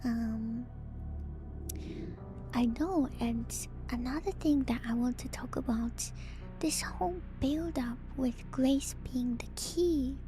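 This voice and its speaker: A woman streamer whispering softly and breathily in an ASMR style, musing gently and hesitantly through game lore commentary close to the microphone. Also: high-pitched, slow. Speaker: streamer, VTuber host. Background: music.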